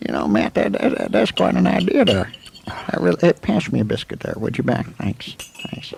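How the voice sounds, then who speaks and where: A man playing a folksy, jokey, aging frontier town doctor in a western radio drama, with a rambling, stammering delivery and a gravelly voice. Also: high-pitched, sing-song, normal speed, heavily acted. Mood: amused. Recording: faint noise, old 1984 amateur recording.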